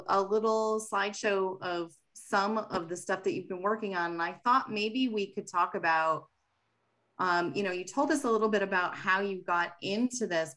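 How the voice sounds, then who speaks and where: Museum host, woman on a webcam call; bright, upbeat, quick moderator. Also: mid-range voice. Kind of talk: moderating a video call panel. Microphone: video call webcam.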